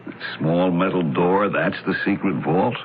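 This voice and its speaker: A man's voice: deep voice, unhurried. A man playing a plain-spoken, puzzled sleuth's sidekick, folksy and curious, in an old-time radio drama. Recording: thin, noisy phone-like vintage radio recording.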